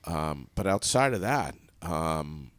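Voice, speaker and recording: A man's voice: rumbling low, very animated, slow, a bit bright, dry recording. A man hosting a casual radio interview in a studio, loose and joking, with some casual swearing. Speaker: radio host.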